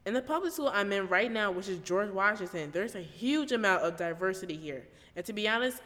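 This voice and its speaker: An American teenage girl reads a personal essay she wrote for student radio, earnest and steady. Her voice sits in a middle register and carries a long reverberant tail. It sounds like a student reporter reading her own script. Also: medium pitch. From United States. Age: late teens.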